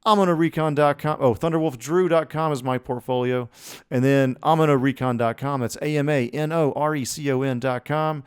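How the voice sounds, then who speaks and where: A man's voice: a little high, fairly even intonation, a little fast, clear, resonant tone. A man co-hosting a podcast, chatting casually with an irreverent, joking manner. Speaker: podcast co-host. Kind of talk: casual chat and banter.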